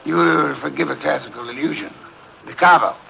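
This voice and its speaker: An actor playing a pompous, portly genius detective in a 1950s radio drama, speaking in a haughty, deliberate way. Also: male voice, fairly high pitch, vocal fry, sharp tone, heavily acted, poor audio. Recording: old radio broadcast with faint hiss.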